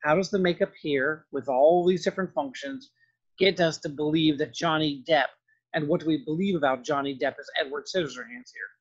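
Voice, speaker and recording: A male professor with a fairly high voice gives an informal lecture. He explains in a loose, rambling way and poses questions to the listener. The sound is somewhat dull and lo-fi. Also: fairly quick, slightly rough. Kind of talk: informal film-costume lecture. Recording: dull lo-fi audio.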